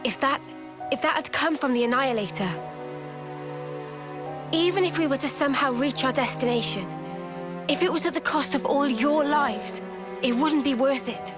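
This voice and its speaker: A woman playing a sincere, determined young soldier in a video game, earnest and soft-hearted. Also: sits high, moderate pace, long pauses, close to an everyday voice. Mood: pained, earnest. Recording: music behind.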